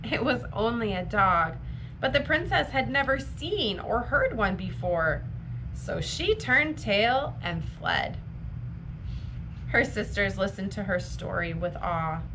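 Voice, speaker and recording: A woman reading a fairy tale aloud for children in an expressive, deliberate storytime manner. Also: mid-range voice, noisy background. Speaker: library storytime reader.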